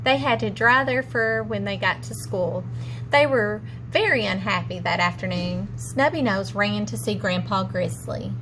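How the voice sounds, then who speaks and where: A woman's voice: medium pitch, unhurried, background noise. A woman, a teacher, narrating a children's storybook aloud.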